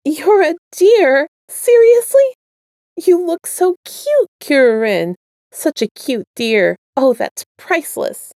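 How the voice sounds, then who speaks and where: A woman voicing a gentle, teasing male magician with a soft, sweet and playful manner in a story reading. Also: high voice, sing-song, slow, no room sound, studio quality, pitched above the performer's own voice, full character voice. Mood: giggly, teasing.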